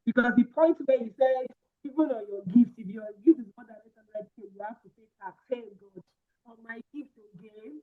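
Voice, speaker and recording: A woman's voice: a little high, normal speed. A woman with a Nigerian accent hosting an online session, chatty and joking with the audience. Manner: lively, chatty, jokes with the audience. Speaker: webinar host. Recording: tinny phone-like sound.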